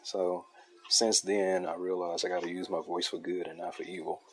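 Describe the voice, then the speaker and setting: Male streamer-artist, very low soothing voice, relaxed rambling chat. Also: moderate pace, poor audio. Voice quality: deep, soothing voice. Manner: laid-back, loose and chatty, with laughs and restarts. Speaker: artist drawing a comic on a livestream. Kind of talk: casual rambling chat while drawing.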